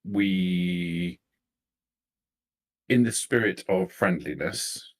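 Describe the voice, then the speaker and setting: A man acts out a poised vampire noblewoman in a tabletop game. The voice sits low and chooses words formally and deliberately, polite with cool menace underneath. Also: expressive, exaggerated voice. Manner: formal, measured, deliberate diction. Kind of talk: improvised tabletop roleplay.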